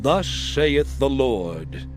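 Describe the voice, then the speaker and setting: An actor playing a firm, righteous Hebrew prophet in a dramatized scripture audio production, solemn and declamatory with drawn-out phrases. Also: male voice, mid-range voice, sing-song. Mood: solemn, foreboding. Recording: music under the voice.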